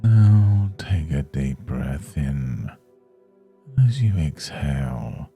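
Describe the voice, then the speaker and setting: Very deep, slow, gravelly male meditation guide. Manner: soothing, hushed, unhurried delivery. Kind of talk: guided yoga nidra meditation.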